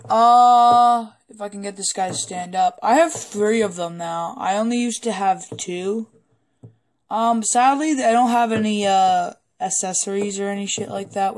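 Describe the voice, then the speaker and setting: A woman who is a little sick and hoarse, rambling casually and hesitantly through a toy collection review with some swearing, recorded on a handheld phone at a table indoors. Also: medium pitch. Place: indoors at a wooden table in a home room.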